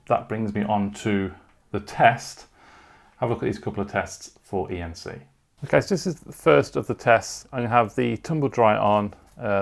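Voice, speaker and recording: A man's voice: lowish voice, vocal fry. A man talking casually through a product unboxing and review in an informal way, with short asides.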